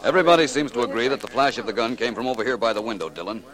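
A man acting a brisk, courteous private detective in a 1940s radio crime drama, with an American accent and an authoritative manner. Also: mid-range voice, a bit bright, close to an everyday voice. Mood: composed, probing. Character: brisk, commanding male private detective taking charge. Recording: old 1940s radio recording.

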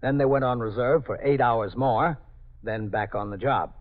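An American man playing a police captain who narrates his night tour in a measured, matter-of-fact, official manner in a 1950s radio drama. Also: mid-range voice, close to an everyday voice. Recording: dull 1950s radio broadcast.

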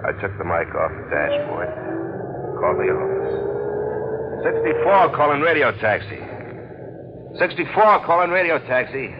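A man's voice: a little high, huge pitch swings, measured pace, pauses often, very noisy. An actor playing a plain-spoken working-class cab driver and family man in a 1940s radio drama. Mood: obliging, businesslike. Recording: muffled old radio broadcast.

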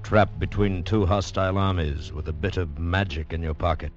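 Male actor as grave, low-voiced teaser narrator for old radio thriller, music underneath, muffled sound. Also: steady tone, moderate pace, lightly acted. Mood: ominous. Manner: grave, suspenseful. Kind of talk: 1940s radio drama narration.

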